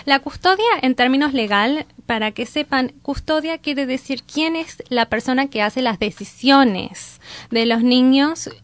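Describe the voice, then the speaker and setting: A woman with a somewhat high, smooth, clear voice explains legal steps haltingly, with many restarts and English words mixed in. The sound is dry and close, with no room echo. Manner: hesitant, many restarts, mixes in English terms. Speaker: guest, family mediation worker. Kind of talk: explanatory interview answers.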